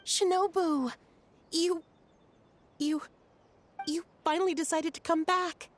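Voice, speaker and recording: High-voiced, earnest young shrine maiden. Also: female voice, slow pace, slight background noise. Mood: worried. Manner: expressive, earnest. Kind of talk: English video game voice acting.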